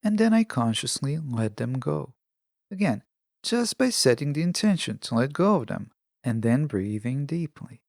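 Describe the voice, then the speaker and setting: A man hosting a self-help podcast, speaking in a measured, deliberate and reflective monologue. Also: medium pitch, very animated, vocal fry, a little mellow, no echo, studio quality. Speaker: podcast host.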